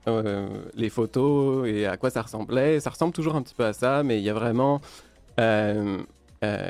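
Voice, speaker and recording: A man's voice: medium pitch, a little mellow, clean tone, no room sound. Dry-voiced French man explaining, hesitant. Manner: hesitant, many "euh" fillers while explaining. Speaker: DJ guest on a podcast. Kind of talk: casual interview, explaining music history.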